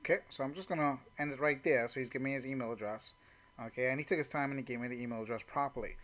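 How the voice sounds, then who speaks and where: A man's voice: mid-range voice, rapid-fire, a crowd in the background. A man working as a network marketing trainer, talking informally and off the cuff as he walks through call examples, often saying "you know". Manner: conversational, unscripted, frequent "you know".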